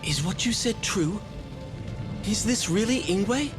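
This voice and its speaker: An actor playing a young heroic prince, earnest and defiant, in a dubbed game cutscene. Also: male voice, high-pitched, lively intonation, slow, over music. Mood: alarmed. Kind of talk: English dub game cutscene acting.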